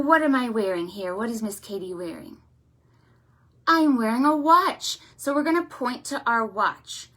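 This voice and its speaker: A woman hosting a storytime for young children, with an animated, sing-song, encouraging way of talking, full of check-in questions and affectionate thanks. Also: a little high. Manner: affectionate, effusive greetings and thanks. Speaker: children's library storytime host.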